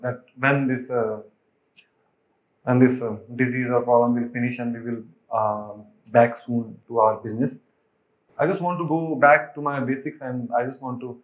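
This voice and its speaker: A man working as cruise ship crew, speaking hesitantly with many pauses and fillers in a documentary interview. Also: medium pitch, little pitch movement, roomy sound, low-quality recording.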